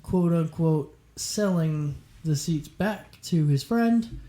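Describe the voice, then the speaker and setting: Slightly high-voiced man bantering. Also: expressive, slow, warm tone. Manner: joking, emphatic, repeats words to hold the floor. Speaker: podcast co-host. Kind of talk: casual banter.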